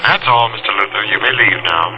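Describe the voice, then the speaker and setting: An actor playing a stern, formal police interrogator in an old-time radio drama, questioning in clipped phrases. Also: male voice, fairly low pitch, fast, over music, lightly acted. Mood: stern, probing. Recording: muffled, noisy vintage radio broadcast.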